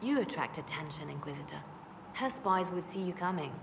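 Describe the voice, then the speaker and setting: A woman playing a cool, calculating spymaster in a fantasy game, measured and intent. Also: deep voice, animated, vocal fry. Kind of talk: fantasy video game acting. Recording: noisy echoing hall.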